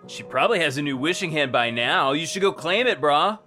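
An actor playing a smug, self-satisfied, heartless business bro in a comedy radio play. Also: male voice, a little high, expressive, fairly quick, bright, forceful tone, soft background music. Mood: breezy, evasive.

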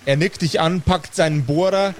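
A man's voice: a little high, moderate pace, a little hiss or hum. A man working as game master, improvising the narration of a tabletop role-playing game. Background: background music.

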